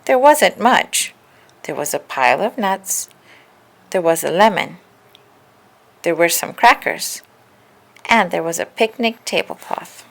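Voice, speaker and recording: A woman reading a children's story aloud in an expressive, deliberate narrating style. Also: on the low side, creaky. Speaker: storybook reader.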